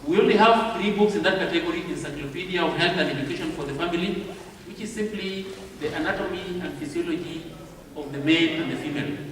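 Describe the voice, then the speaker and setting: A man with a Kenyan accent giving a training talk at a convention, speaking slowly and deliberately and checking in with the audience. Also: on the high side, a bit bright. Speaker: publishing house representative. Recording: echoey hall recorded from far off.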